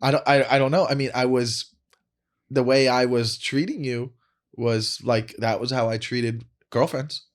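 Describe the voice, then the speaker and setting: Halting man in his forties bantering. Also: mid-range voice, steady tone. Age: 40s. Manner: halting, repeats words as he starts sentences. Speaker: comedy podcast host; stand-up comedian. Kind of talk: casual banter.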